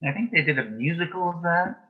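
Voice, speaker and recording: A man answering as an interview guest in a matter-of-fact, measured way, telling small anecdotes in conversation. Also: fairly high pitch, lively intonation. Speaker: interview guest, author and playwright. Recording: dull, band-limited sound.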